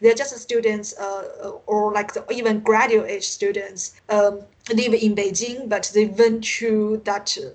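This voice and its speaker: A woman from China with a Chinese accent, a native Mandarin speaker, answering interview questions as an academic guest, haltingly searching for words. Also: medium pitch, fairly even intonation. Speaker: interview guest, university teacher and researcher. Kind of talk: unscripted interview conversation.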